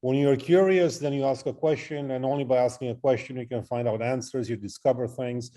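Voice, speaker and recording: A middle-aged man speaks as an interview guest. His voice sits at an ordinary male pitch, and he talks in a halting, reflective manner, often building his points as lists. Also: steady tone, dark tone. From Romania. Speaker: interview guest, artist and photographer. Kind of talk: interview conversation.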